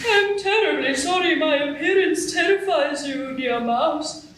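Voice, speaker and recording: A woman voicing a huge, gentle and very courteous narwhal in a live radio play, with a sing-song lilt. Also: high voice, measured pace, a little hiss or hum. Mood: polite. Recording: roomy echo from far off.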